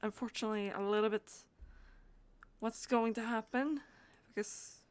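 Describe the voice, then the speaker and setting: A woman's voice: fairly high pitch, fairly even intonation, moderate pace, dry recording. A woman streamer chatting casually in a hesitant, rambling, giggly way. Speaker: live streamer. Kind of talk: casual livestream chat.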